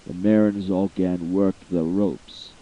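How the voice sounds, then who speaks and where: A man giving a dramatic poetry reading as voice-acting practice, expressive and emphatic, lingering on repeated words. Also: sits low, a little slow, lo-fi sound. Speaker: amateur voice actor reading a poem.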